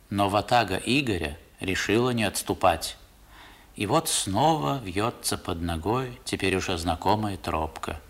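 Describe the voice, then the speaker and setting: An actor narrating a 1960s radio drama as a man recalling his boyhood, in an expressive literary style. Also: on the low side. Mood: reflective. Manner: expressive, theatrical storytelling. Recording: vintage 1960s radio recording.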